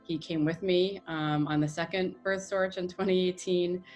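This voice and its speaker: An American woman speaking in a soft, halting way with many fillers, reflecting in an interview. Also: on the low side, fairly even intonation, faint music behind. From United States.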